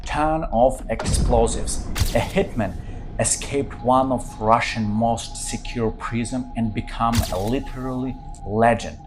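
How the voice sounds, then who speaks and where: A man's voice: mid-range voice, unhurried, noisy background. A man from Ukraine, hosting his channel and telling true-crime stories dramatically in English. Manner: dramatic storytelling in non-native English. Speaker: channel host.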